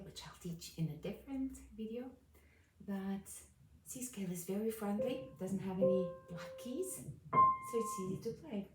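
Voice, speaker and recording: A woman with a low voice giving a casual piano lesson, explaining calmly while playing notes, recorded from a distance in a room with a piano. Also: roomy sound. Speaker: piano teacher.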